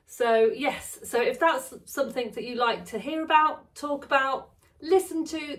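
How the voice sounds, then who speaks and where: A woman talks casually to camera from her home sewing studio, chatty and rambling, often correcting herself. Her voice is slightly gravelly today. She sits a little back from the microphone, so she sounds slightly distant. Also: a little high, fairly bright tone. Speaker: sewing vlogger.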